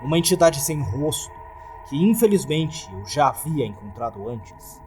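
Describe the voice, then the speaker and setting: Man, Brazilian accent, mid pitch, animated intonation, scripted creepypasta narration, background music. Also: moderate pace. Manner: storytelling, expressive. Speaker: horror story narrator.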